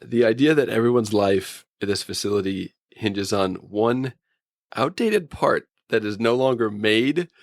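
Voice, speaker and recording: A man's voice: fairly low pitch, unhurried, no room sound, clean studio sound. A man co-hosting a casual review podcast, telling things in a wry, joking, anecdotal way. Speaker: podcast co-host. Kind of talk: casual humorous review chat.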